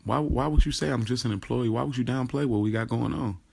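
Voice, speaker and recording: An actor playing a lovestruck young man confiding his secret romance in a casual, slangy audio drama monologue, with a gravelly, husky voice. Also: mid-range voice, fast, a little mellow. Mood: hurt, confronting. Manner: informal, slangy, acts out quoted dialogue. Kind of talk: first-person audio drama monologue, conversational storytelling.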